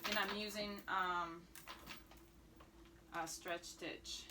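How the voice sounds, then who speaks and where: A woman's voice: fairly low pitch, fairly even intonation, drawn-out words, background noise. A woman whose first language is Spanish, chatting upbeat through a sewing tutorial and narrating each step as she works at the machine. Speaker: sewing instructor.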